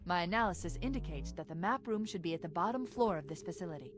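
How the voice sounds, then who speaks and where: A woman's voice: lowish voice, expressive, fast, music in the background, close to an everyday voice. A woman playing a confident, analytical military artificial intelligence in a video game. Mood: focused, brisk.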